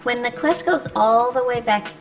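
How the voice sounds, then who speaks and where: A woman speech-language pathologist, a guest on a radio interview, explaining clearly and patiently with frequent ums. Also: fairly high pitch, normal speed. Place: radio studio. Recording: radio studio with music behind.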